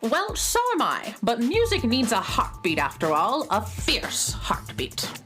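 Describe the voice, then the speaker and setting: Bright, brash living flute. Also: female voice, on the high side, wildly swooping pitch, unhurried, faint music behind, full character voice. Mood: teasing, fierce. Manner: brash, emphatic. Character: feisty female flute teacher, rock-loving drummer, a living instrument. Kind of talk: children's radio drama acting.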